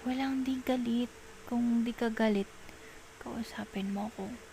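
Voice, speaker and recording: A woman with a Filipino accent playing a clingy, coaxing girlfriend in an intimate ASMR roleplay, pleading in soft baby talk. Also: a little high, fairly even intonation, unhurried, slight background noise. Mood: puzzled, pleading. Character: clingy, coaxing girlfriend soothing a jealous partner.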